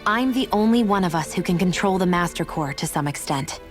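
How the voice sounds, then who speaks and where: Casting: woman playing a proud, guarded young heroine for a game, fairly low voice, curt and resolute, faint music underneath. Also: expressive, a little fast, natural voice. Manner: matter-of-fact, curt. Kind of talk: video game cutscene acting.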